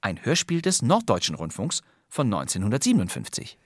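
A man's voice: medium pitch, wildly swooping pitch, brisk, no room sound, studio quality. A man hosting scripted, wry presentations of old radio crime plays in a playful, theatrical storytelling way. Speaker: podcast host.

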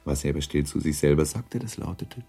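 A man narrating a literary radio drama from a script, with a husky voice. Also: a bass voice, lively intonation, brisk, warm tone, soft background music, full character voice.

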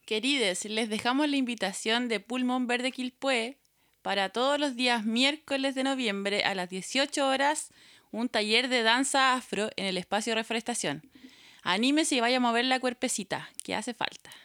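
A woman's voice: a little high, moderate pace, a bit bright, dry recording, studio quality. A Chilean woman hosting a podcast, reading community notices with informal, familiar phrasing. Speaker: podcast host. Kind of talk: scripted community announcements. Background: background music.

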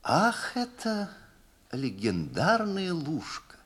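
An actor playing a gentleman traveller in an audiobook, jocular and musing, a slightly embarrassed romantic. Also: a little high, wildly swooping pitch, slow, voice pushed up, full character voice. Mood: playful, wistful. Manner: jocular, musing, hesitant phrasing.

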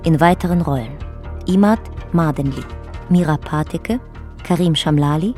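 An actress as the narrator of a historical radio drama, reading a chronicle in a sober, measured documentary manner. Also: female voice, sits low, darkish tone, faint music behind, lightly acted.

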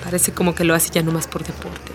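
A woman's voice: lowish voice, completely flat delivery, fairly quick, lightly acted. A woman with a Mexican accent acting in a radio play as a streetwise, protective schoolteacher, plain-spoken and matter-of-fact. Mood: reassuring, practical. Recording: music bed behind the voice.

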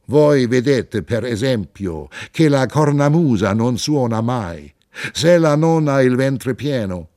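A man voicing a ragged, clever wandering stranger in an audiobook reading, talking slowly and drawing out strange foreign phrases. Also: mid-range voice, dark tone, no echo, studio quality, pitched above the performer's own voice, close to an everyday voice. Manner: slow, drawn-out, babbling in foreign tongues.

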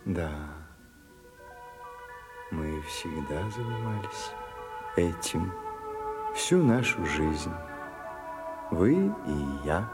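Radio drama role: languid, melancholy aesthete with dry irony, deepish voice, drawn-out deliberate phrasing, music behind. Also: male voice, big pitch swings, full character voice. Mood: tender, wry. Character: Oscar Wilde, weary, witty, melancholy aesthete. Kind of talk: slow theatrical radio drama acting.

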